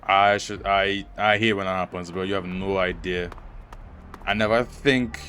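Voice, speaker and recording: Low-voiced man doing casual game commentary. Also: fairly even intonation, unhurried, bright, forceful tone, clean tone. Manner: slangy, muttering asides at the game. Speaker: gamer reacting to a story game. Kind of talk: casual let's play commentary.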